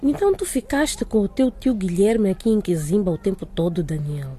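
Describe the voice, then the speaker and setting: A female school director in a radio drama, with a mellow, unforced voice. She is stern and formal, laying down rules in a firm, measured way. Her authority is plain, yet she stays fair. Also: medium pitch, expressive, husky.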